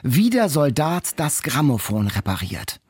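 A man's voice: mid-range voice, sing-song, a little slow, a little mellow, husky, dry recording. A man co-hosting a casual book podcast, speaking with emphatic enthusiasm and repeating words for effect. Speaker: book podcast host, quizmaster.

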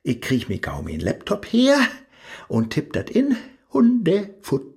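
An actor playing a flustered scholar fumbling with a laptop, speaking with a Low German accent and a husky voice. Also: male voice, wildly swooping pitch, unhurried, mellow, full character voice.

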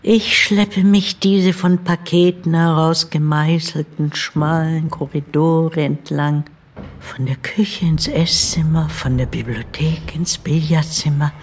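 An older woman playing the bitter, devoted ghost of a family maid, narrating a radio drama in a brooding, deliberate way. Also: low voice, steady tone, husky, full character voice. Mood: haunted. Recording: studio radio play over loud music.

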